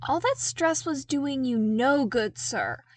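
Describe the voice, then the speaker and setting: A woman's voice: high-pitched, lively intonation, slow, dry recording, voice pushed up. A woman voicing a frank, teasing, loyal male soldier in an audiobook, wry and familiar but deferential. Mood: reassuring. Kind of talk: audiobook character dialogue.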